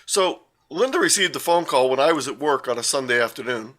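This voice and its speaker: A man's voice: mid-range voice, animated, a little fast, fairly bright tone. An older man from the United States giving a casual talk with slides, rambling, with many fillers and self-corrections. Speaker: guest presenter.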